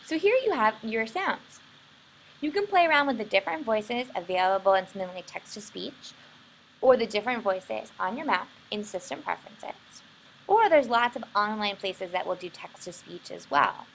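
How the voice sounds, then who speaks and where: An American woman narrating a software tutorial in an informal, explanatory way. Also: medium pitch, expressive, normal speed, vocal fry, slight background noise, rough recording. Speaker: software instructor.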